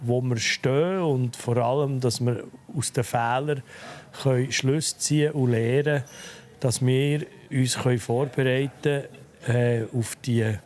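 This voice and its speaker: A man in his fifties speaks with a Swiss German accent in a mid-range voice. He answers interview questions in a measured, businesslike way, now and then tangling his word order. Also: mid-range voice, fairly even intonation, a little mellow. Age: 50s. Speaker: restaurant leaseholder.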